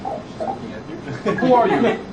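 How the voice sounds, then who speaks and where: A man's voice: very high voice, wildly swooping pitch, over a noisy crowd, very noisy. French-accented man giving a live talk. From France. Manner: fast-talking, halting with frequent restarts. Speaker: presenter at a developer meetup. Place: meeting room. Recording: echoey room, far from the mic, loud air conditioning.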